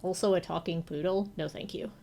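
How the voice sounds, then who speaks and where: A woman's voice: lowish voice, fairly even intonation, fairly quick, dark tone, slight background noise. A woman game streamer giving casual live commentary as she plays. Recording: game music behind the voice.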